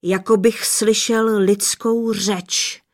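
A woman voicing a stern, thunderous father who rules the clouds and winds, speaking in a slow, grumbling boom. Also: little pitch movement, natural voice.

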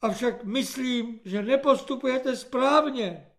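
A man's voice: extremely high pitch, fairly bright tone, voice pushed up. A man voicing an earnest, pompous young soldier who speaks in stiff, formal phrases.

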